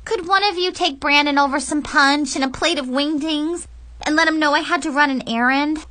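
Woman voicing an excitable, high-pitched teenage girl. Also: normal speed, dry recording. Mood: nervous coaxing. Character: excitable, awkward, eager-to-please young teenage girl.

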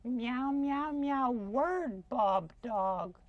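A man voicing a small, timid, secretive female cat puppet for a children's television show, meowing between words in short, halting phrases. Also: very high-pitched, expressive, dry recording, lo-fi sound, heavily acted. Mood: anxious, secretive.

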